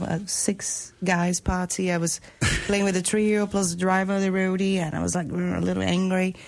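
A Brazilian woman in her thirties, a musician guest in a radio studio, telling stories in a chatty way with fillers. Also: medium pitch. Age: 30s. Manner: chatty storyteller with fillers, laughs easily. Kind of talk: radio interview. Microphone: studio microphone.

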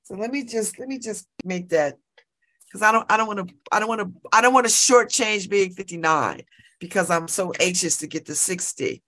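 A woman's voice: on the low side, fairly bright tone. A woman in her late fifties hosting a morning radio show, chatting casually and exuberantly, laughing often and repeating herself as she talks. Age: 50s. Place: radio studio.

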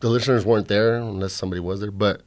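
A man's voice: fairly low pitch, steady tone, rapid-fire, a little mellow. Rambling, profane man hosting a podcast. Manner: loose, profane, rambling storytelling. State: hungover and a bit drunk. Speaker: podcast host. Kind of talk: casual chat.

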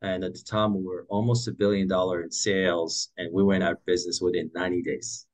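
Deep-voiced man from Morocco. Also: fairly quick. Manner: relaxed, many "uh" and "um" fillers, frequent restarts. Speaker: guest entrepreneur. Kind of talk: casual storytelling interview.

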